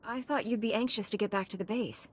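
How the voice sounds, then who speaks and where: An actress playing a composed, blunt female Jedi knight in a video game, calm and matter-of-fact. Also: fast talker, lightly acted. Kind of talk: scripted video game cutscene acting. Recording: long reverberant hall, faint background rumble.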